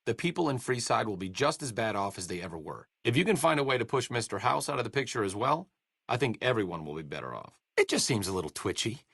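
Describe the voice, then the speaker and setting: A man's voice: mid-range voice, very animated, fast talker, creaky, a bit bright, husky, dry recording, heavily acted. An actor playing a wry, sardonic male doctor in a video game, with an articulate, bookish way of talking and dry sarcastic wit. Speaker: companion character in a video game. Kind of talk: game voice acting. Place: studio booth.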